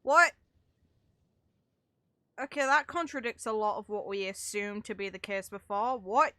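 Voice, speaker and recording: A woman's voice: medium pitch, unhurried, pushed and bright, dry recording, clean studio sound. A woman streaming a game with casual live commentary, rambling as she thinks aloud and swearing mildly.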